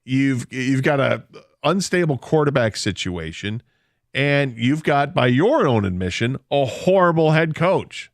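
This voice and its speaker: A man's voice: mid-range voice, sing-song, unhurried, dry recording, studio quality. A man hosting a sports talk radio show, opinionated and emphatic, laughing easily.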